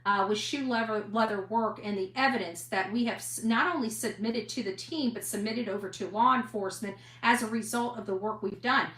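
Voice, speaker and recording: Bright-voiced, defiant woman in roomy echo. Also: medium pitch. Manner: defiant, emphatic, blunt. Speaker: online investigative reporter and host. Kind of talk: impassioned monologue to online audience.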